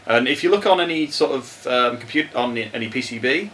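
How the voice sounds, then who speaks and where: Animated man explaining electronics. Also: mid-range voice, fairly quick, a little hiss or hum. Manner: animated, laughs often, explains electronics in plain terms. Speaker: podcast co-host answering tech questions. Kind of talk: casual chat.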